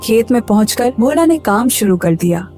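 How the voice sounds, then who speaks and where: Female cartoon storyteller over clear music. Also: on the high side, sing-song, moderate pace. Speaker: storyteller, narrator. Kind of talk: scripted narration of a cartoon moral story. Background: clear background music.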